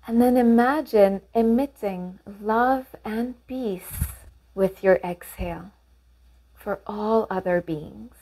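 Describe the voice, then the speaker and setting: A woman leading a guided meditation, giving gentle, measured instructions with pauses between phrases. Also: mid-range voice, rough recording.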